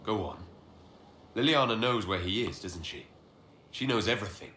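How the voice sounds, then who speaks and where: An actor playing a commanding, composed hero in a video game, speaking directly and probingly. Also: male voice, on the low side, very animated, moderate pace, bright, forceful tone. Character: commanding, direct male hero leading an organisation. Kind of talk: dramatic video game dialogue. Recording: echoey space, faint background noise.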